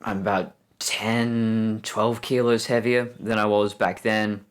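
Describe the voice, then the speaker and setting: A woman in her thirties with an Australian accent and a deep voice. She speaks frankly in an interview, halting with restarts. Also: level tone. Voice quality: deep voice from testosterone. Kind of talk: candid recorded interview.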